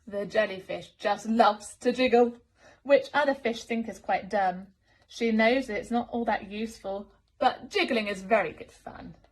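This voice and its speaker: A woman reading a rhyming picture book to children as a teacher, expressive and sing-song. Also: fairly high pitch, normal speed, rough recording.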